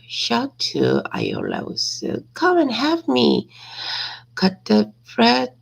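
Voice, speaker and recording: A woman reading a simple story aloud as practice, hesitantly, repeating and correcting words, with a raspy, creaky voice. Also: fairly low pitch, low-quality recording.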